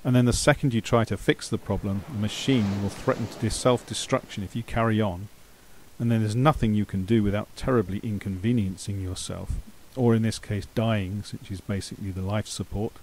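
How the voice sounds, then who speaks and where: A man's voice: lowish voice, steady tone, brisk, darkish tone, slight background noise, lightly acted, low-quality recording. A man playing an irritable, sarcastic boss of a talking machine in an amateur radio drama. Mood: wry, exasperated. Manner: sarcastic, exasperated.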